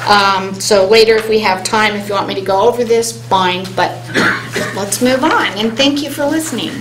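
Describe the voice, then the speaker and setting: A woman on a hypnosis conference panel, speaking earnestly and reading an inspirational passage aloud, slowing down on key words. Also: medium pitch. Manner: earnest, inspirational, speeds up and slows for emphasis. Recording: roomy hall sound, faint hum.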